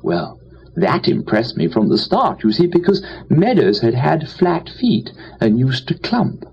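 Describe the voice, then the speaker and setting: A man playing a foppish, cheerful, dim young English gentleman, telling a comic story in chatty old-fashioned upper-class slang. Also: medium pitch, sing-song, a little slow, breathy edge, exaggerated voice. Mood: rueful, amused. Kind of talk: dramatised first-person comic storytelling. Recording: dull lo-fi with faint noise.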